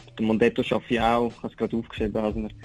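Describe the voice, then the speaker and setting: A man's voice: lowish voice, monotone, racing, darkish tone, faint music behind. A man with a Swiss German accent giving a casual interview as a rapper, talking loosely with English slang mixed in. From Aargau, Switzerland. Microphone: telephone.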